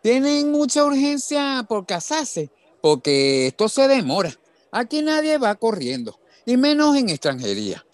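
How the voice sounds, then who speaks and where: An actor playing a burly, kindly older boss in a radio drama, frank and colloquial. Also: male voice, very high-pitched, wildly swooping pitch, a little slow, no room sound, heavily acted. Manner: colloquial, folksy.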